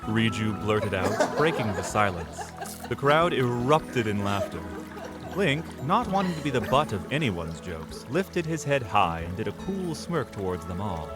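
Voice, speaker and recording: A man's voice: fairly low pitch, big pitch swings, unhurried, loud music behind the voice. A man narrating an audio drama in a dramatic, scripted storytelling style.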